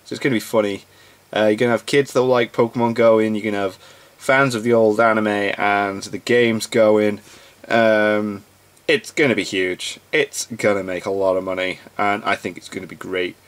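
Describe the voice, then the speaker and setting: A man's voice: lowish voice, fairly even intonation, moderate pace. A man hosting a reaction video, giving casual commentary in a loose, informal way.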